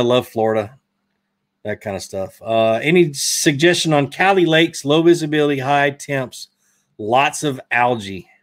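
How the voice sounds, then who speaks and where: A man in his thirties with a Southern US accent, hosting a fishing live stream and casually answering viewer questions in a rambling, self-correcting way. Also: medium pitch. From Georgia, US. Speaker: fishing channel host. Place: indoor studio room, brick wall. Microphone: desk mic in front.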